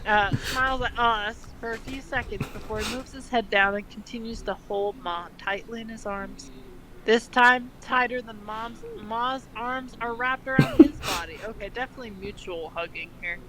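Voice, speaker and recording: A woman co-hosting a dramatic fan fiction reading, mixing narration with casual, deadpan sarcastic remarks. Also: a little high, normal speed, crowd noise, rough recording. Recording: background noise, uneven levels.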